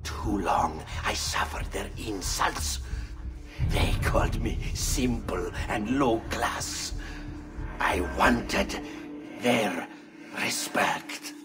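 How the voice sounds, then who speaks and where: An actor playing a bitter, domineering male henchman of a tyrant in a video game, with a haughty, deliberate delivery. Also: lowish voice, over music. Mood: dying, bitter. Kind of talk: video game villain acting.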